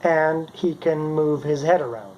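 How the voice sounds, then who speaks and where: A man's voice: a little high, unhurried, darkish tone, a little hiss or hum, low-quality recording. A middle-aged man casually narrating a demonstration as a hobbyist maker. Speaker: maker presenting his homemade robot.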